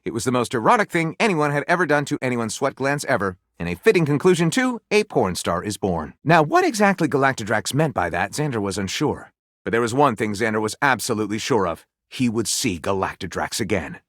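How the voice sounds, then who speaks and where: A man's voice: medium pitch, very animated, a little fast, a bit bright, no room sound, studio quality. A man voicing a theatrical, wry storyteller and snide critic in a video game, mock-grandiose and sardonic, as if narrating a storybook. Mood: mock-dramatic narration. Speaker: voice actor.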